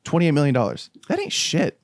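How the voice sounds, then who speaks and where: A man's voice: a little high, a little fast, no room sound, clean studio sound. A man co-hosting a casual movie review podcast, joking and swearing casually. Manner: lively, jokey, swears casually. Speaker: podcast co-host.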